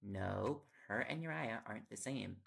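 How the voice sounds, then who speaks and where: A young man playing an affectionate, teasing reporter boyfriend in an intimate audio roleplay, with a gravelly voice and playful, coaxing talk. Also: fairly low pitch, animated, moderate pace. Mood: chatty, fond. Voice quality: gravelly, creaky. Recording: loud background noise with clicks. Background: ticking and clicking sounds.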